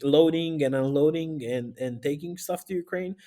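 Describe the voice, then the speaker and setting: A man from Romania with a Romanian accent, speaking casually as an interview guest and pausing often to find his words. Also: on the high side, warm tone. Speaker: interview guest, youth pastor and aid volunteer.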